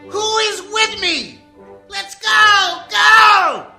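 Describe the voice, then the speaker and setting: A drunken, blustering frat misfit played by a woman in an amateur radio comedy. The voice is extremely high and piercingly bright, ranting at a slow pace to rally friends, with faint music behind. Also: creaky, full character voice. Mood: rousing, indignant. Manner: ranting, declamatory.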